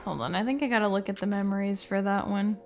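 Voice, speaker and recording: A woman's voice: mid-range voice, fast, a little mellow, faint music behind. A woman giving chatty, informal commentary as a video creator, saying "like" often. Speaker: video game content creator. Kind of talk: casual commentary ranking game cutscenes.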